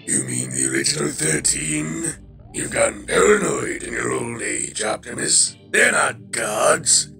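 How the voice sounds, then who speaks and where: Villainous robot warlord, gravelly, swooping pitch, mocking drawl, theatrical, cartoon fan dub. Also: male voice, sing-song, measured pace, sharp tone, faint music behind, heavily acted. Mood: dismissive. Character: sardonic, swaggering villainous giant robot warlord. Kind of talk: animated fan dub acting. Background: musical score.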